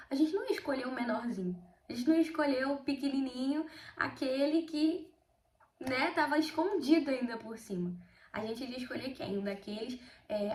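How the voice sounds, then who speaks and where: A sixteen-year-old girl with a Brazilian accent, slightly hoarse from a cold, chatting through a casual Bible study vlog and often addressing her viewers. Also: high-pitched, darkish tone, reverberant. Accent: Brazilian Portuguese. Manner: chatty, keeps addressing viewers, emphatic storytelling. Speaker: video host.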